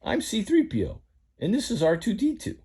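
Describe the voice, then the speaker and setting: A man's voice: high voice, huge pitch swings, normal speed, warm tone, husky, pitched above the performer's own voice, full character voice. A man voicing a fussy, prim male robot in a read-aloud story. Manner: prim, polite. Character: fussy polite male gold droid.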